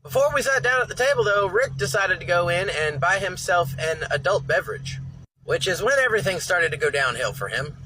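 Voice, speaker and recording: A man who drives a truck calmly telling what happened to him in a matter-of-fact way. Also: high voice, a little fast. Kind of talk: personal account. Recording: noisy background.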